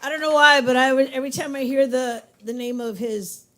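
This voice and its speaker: Female emcee, low voice, lively and loud, small coffee shop venue. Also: brisk. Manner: lively, shouting introductions. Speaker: open mic emcee. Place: coffee shop open mic.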